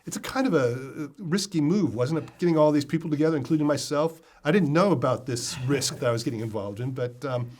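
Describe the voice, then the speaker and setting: A man's voice: a little high, quick pace, few pauses, a little mellow. An older man moderating a conversation before guests, asking questions in an informal, conversational style.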